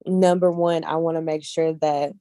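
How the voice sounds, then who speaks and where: A woman's voice: low voice, level tone, moderate pace, mellow, clear, resonant tone, no room sound, studio quality. A woman speaking casually and earnestly as an interview guest, often repeating phrases for emphasis. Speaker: guest casting director, actress and model.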